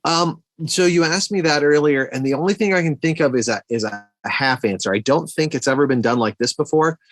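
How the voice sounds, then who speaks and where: A man's voice: on the high side, brisk. A man co-hosting a podcast, chatting casually about movies in a laid-back, slangy way. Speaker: podcast co-host.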